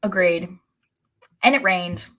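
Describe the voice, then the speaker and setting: A woman with a lowish voice co-hosts a casual sports podcast. She talks conversationally, in the wry and resigned way of a devoted fan. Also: slow pace, fairly bright tone. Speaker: podcast co-host.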